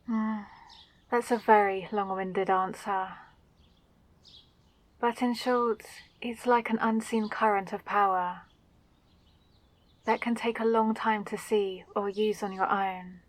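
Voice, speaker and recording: A woman voicing a friendly, caring and curious female mage in a fantasy roleplay audio, speaking gently and attentively. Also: medium pitch, steady tone, takes it slowly, long pauses, natural voice. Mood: explaining. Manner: gentle, eager, attentive questioning. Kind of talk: roleplay audio drama for the listener.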